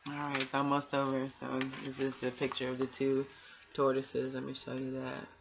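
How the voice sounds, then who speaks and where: A woman's voice: rumbling low, monotone, normal speed, gravelly, noisy background. A woman reading and hosting a children's bedtime story, with a nurturing, encouraging way of addressing young listeners. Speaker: bedtime story reader and host. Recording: dull, lo-fi home recording.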